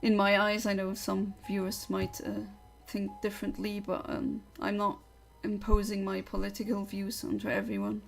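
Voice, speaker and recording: A woman's voice: mid-range voice, normal speed, creaky, few pauses, soft background music, low-quality recording. Chatty young woman. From Luxembourg. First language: Luxembourgish. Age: young adult. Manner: chatty, opinionated, rambling. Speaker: livestreamer. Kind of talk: casual livestream chat.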